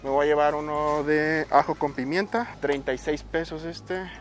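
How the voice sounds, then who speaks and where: Mexican bodybuilder vlogger, thirties, fairly high man's voice, chatty price-counting, soft music underneath. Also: steady tone, normal speed. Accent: Mexican Spanish. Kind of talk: casual vlog, showing his weekly grocery shopping. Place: supermarket aisles, car and shops.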